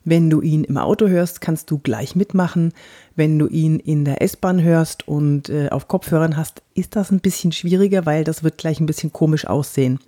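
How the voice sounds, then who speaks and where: Woman, light Bavarian lilt, warm mellow voice, chatty podcast coach, slows down for exercises. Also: low voice. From Franconia, Germany. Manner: guides exercises slowly and deliberately; chatty and personal. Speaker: actress and body language coach. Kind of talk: podcast voice lesson.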